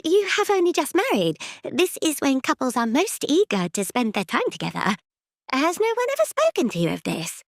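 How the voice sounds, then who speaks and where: Female narrator as young maidservant in period romance audiobook, high-voiced, naive busybody, earnest, close dry sound. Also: huge pitch swings, moderate pace, no echo, full character voice. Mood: incredulous. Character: anxious young lady's maid, naive busybody, deferential. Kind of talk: audiobook character acting.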